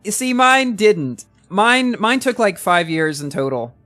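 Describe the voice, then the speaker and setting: A man's voice: sits high, very animated, unhurried, sharp tone, soft background music. A man in his early twenties chatting casually while livestreaming a game and reading chat, rambling and often repeating words as he restarts. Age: early 20s. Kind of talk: casual live-stream chat.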